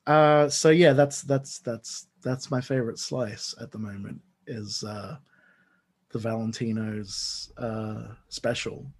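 A man's voice: mid-range voice, fairly even intonation, slow, darkish tone. A man in his forties with a mixed Australian, Dutch and British accent, chatting enthusiastically as a podcast guest. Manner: chatty and enthusiastic, lots of restarts. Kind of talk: casual podcast chat.

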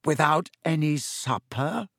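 A man voicing a cold, sneering male teacher who speaks slowly and silkily, in a dry recording. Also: fairly high pitch, animated, gravelly, fairly bright tone, husky, dry recording, pitched above the performer's own voice, heavily acted. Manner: slow, silky and sneering.

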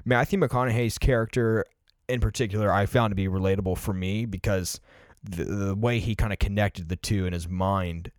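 A man's voice: lowish voice, fairly even intonation, moderate pace, hardly any pauses, no echo, clean studio sound. A man in his early twenties talking casually and reflectively on a podcast, explaining his thoughts with plenty of "like" and "you know". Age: early 20s. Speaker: podcast co-host discussing favorite films.

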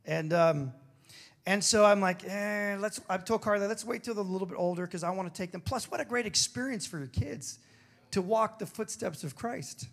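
A man preaching a sermon with a throaty, hoarse voice, moving between emphatic declarations and casual, joking asides. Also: high-pitched, moderate pace, fairly bright tone. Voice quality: gravelly, throaty. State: hoarse after singing. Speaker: pastor.

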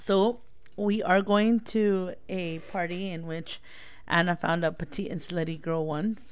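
A woman's voice: lowish voice, steady tone, a little slow, smooth. A woman in her thirties chatting casually as a podcast co-host, blunt and teasing, laughing easily and slipping into Spanish now and then. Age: 30s. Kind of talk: casual chat.

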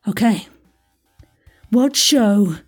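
A woman's voice: mid-range voice, animated, extremely slow, soft background music. A woman hosting an audio quiz in a chatty, playful style, with a theatrical, jokey delivery full of asides and exclamations. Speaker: quiz host.

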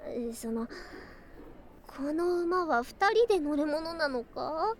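A woman voicing a doting, excitable young lamia bride in an ASMR voice drama, speaking in archaic, old-fashioned phrases with girlish excitement. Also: high-pitched, takes it slowly. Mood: flustered, shy. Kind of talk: ASMR situation voice drama, addressed to the listener.

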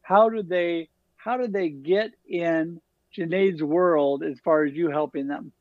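A man with a fairly high voice hosts a podcast and praises his guest, filling gaps with "you know." His sound is dry and a bit dull, as if over a lo-fi call line. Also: fairly even intonation, unhurried, no echo. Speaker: podcast host. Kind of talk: casual interview talk.

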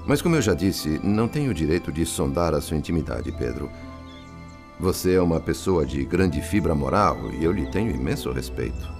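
A very low male voice with lively intonation plays a kind, earnest spirit in a Brazilian radio drama. He is formal and considerate, with a Brazilian accent. Faint music sits behind him. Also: normal speed, gravelly, exaggerated voice. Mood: respectful, earnest. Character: André, compassionate, respectful male spirit, a worried father.

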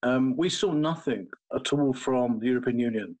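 Halting male political commentator. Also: medium pitch, darkish tone, rough recording. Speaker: political commentator, panel guest.

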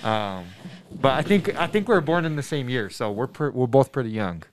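A man in his twenties from Denver, a middle school math teacher, answering students' questions in a thoughtful, earnest way, pausing often with ums and restarting sentences. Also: mid-range voice, expressive, background noise. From Denver, USA. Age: 20s. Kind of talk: casual interview answers to students.

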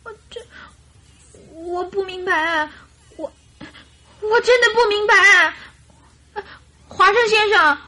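A woman's voice: very high voice, slow pace, long pauses, bright, forceful tone, full character voice. A woman playing a naive, devoted young lady in distress in a radio drama, pleading and girlish. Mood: tearful, distraught. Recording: radio drama with music behind.

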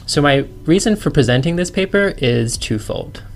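A man's voice: on the high side, lively intonation, normal speed, a little hiss or hum. Chatty Australian-accented male lecturer. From China. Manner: chatty, self-deprecating, laughs easily. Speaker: guest lecturer. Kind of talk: casual podcast interview.

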